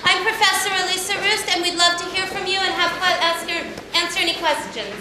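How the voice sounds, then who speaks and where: A woman's voice: very high-pitched, a little fast. A woman professor hosting a question session after a college play reading, taking questions from the audience. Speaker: professor hosting a post-show talkback. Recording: echoey hall with crowd noise.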